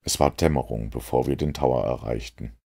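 A man narrating a first-person story as an audiobook reader, with a husky voice. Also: extremely low pitch, brisk, mellow.

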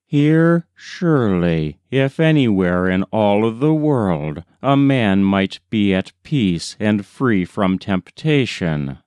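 A man reading a short story aloud as scripted literary narration, in a measured, deliberate storytelling manner. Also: fairly low pitch, sing-song, darkish tone, clean tone, no room sound. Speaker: audiobook reader.

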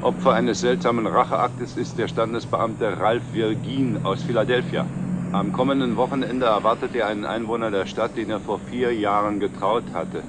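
Actor as deferential aide, very noisy car. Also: male voice, lowish voice, steady tone, moderate pace, close to an everyday voice. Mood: dutiful. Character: deferential company aide reading out the newspaper. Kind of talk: 1960s German TV drama acting. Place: inside a moving car. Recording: very noisy car interior, old film.

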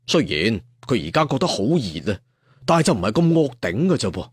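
Male storyteller for serialized fiction: slightly rough voice, animated delivery, colloquial and dramatic. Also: medium pitch, a little fast, no room sound, clean studio sound. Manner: colloquial, addresses listeners with rhetorical questions. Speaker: audiobook storyteller. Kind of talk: dramatic traditional storytelling narration.

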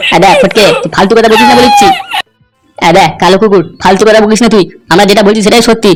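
Brash, scolding cartoon thug done by a voice actor, mid-to-high in pitch, breakneck delivery, music underneath. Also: wildly swooping pitch. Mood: agitated. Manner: brash, scolding, rapid-fire. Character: coarse, excitable male cartoon village thugs and henchmen. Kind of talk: Bengali comedy cartoon voice acting. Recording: music behind the voice.

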